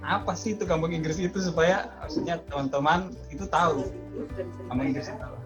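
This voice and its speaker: A man hosting a casual vlog and online interview, friendly and chatty, often pausing with hesitations. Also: a little high. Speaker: host. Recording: dull lo-fi sound with music behind.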